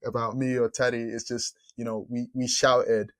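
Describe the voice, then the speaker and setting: A man hosting a casual podcast interview, relaxed, laughing often and repeating words as he thinks. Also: mid-range voice, normal speed. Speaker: podcast host.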